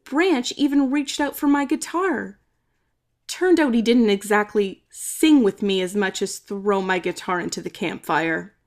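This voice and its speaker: A woman reading a children's story aloud expressively, with dramatic emphasis and some drawn-out words. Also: mid-range voice. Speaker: storybook reader. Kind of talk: expressive children's read-aloud, narrating in first person.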